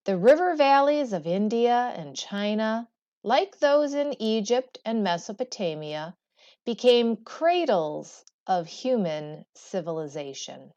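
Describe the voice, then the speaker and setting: Woman teacher reading aloud slowly, lively intonation. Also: medium pitch, lo-fi sound. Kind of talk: textbook read-aloud with brief asides.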